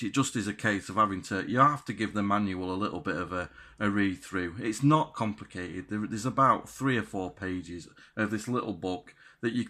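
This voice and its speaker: A man giving a casual, unscripted product review in a halting but enthusiastic way. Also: low-pitched, fairly bright tone. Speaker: radio gear reviewer.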